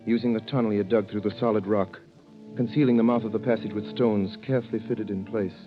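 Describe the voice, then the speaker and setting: A man's voice: fairly low pitch, fairly even intonation, quick pace, lightly acted. An actor playing a young French prisoner who tells his story with dramatic earnestness in an old radio drama. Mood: grave. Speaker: narrator. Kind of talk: 1930s radio drama narration. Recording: dull old radio recording with music.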